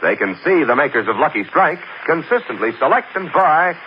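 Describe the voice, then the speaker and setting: A man reading a 1940s radio commercial in a brisk, persuasive announcer manner. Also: fairly high pitch, very animated, a little hiss or hum. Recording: muffled old radio broadcast.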